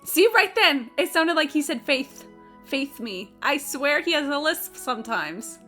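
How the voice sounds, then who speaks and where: A high-voiced woman chats casually on a game livestream. Her delivery swings animatedly and has a bright, sharp edge, with candid, joking and sweary remarks. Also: expressive, a little slow, faint music behind. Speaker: live game streamer. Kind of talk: casual livestream chat, commentary.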